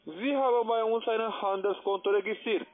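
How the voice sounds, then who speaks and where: A man's voice: sits high, normal speed. A man with a foreign accent, a non-native speaker, making a sales call as a call centre agent. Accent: foreign accent in German. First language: not German. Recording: tinny narrowband phone line.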